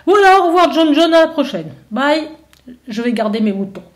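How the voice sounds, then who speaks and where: A woman's voice: high voice, sing-song, normal speed, pitched above the performer's own voice. A woman voicing a stubborn shepherd who defends his homeland, indignant and incredulous, with lots of hesitations.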